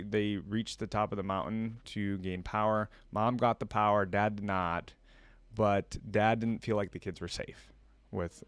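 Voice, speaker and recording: A man's voice: fairly low pitch, dry recording. A man hosting a reaction video, talking conversationally and thinking aloud as he comments and reads lore.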